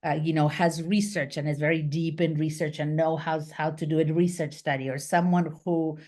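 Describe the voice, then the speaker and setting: Low-voiced woman on a video call. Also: normal speed. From Argentina. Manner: lively, gestures with her hands, many fillers and restarts. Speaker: guest, business founder. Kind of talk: casual interview on a video call. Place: small home office, indoors. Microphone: video call webcam.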